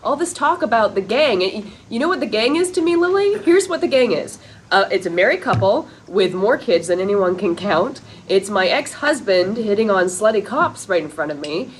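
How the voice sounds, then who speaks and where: A woman's voice: mid-range voice, animated, normal speed, natural voice. An actress playing a weary, wistful woman drifting from her old friends, in a sitcom table read. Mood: exasperated, bitter. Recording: faintly noisy rehearsal room recording.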